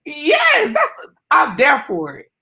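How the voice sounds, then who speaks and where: A woman in her twenties chatting casually as an interview guest, animated with loud bursts of excitement. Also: sits high, slow. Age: 20s.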